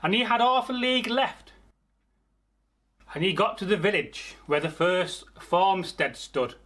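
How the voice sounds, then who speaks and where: Slow, higher-pitched man telling a folktale. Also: pushed and bright. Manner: theatrical, unhurried, pauses mid-phrase, addresses listeners. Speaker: storyteller, channel host. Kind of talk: oral folktale storytelling, improvised.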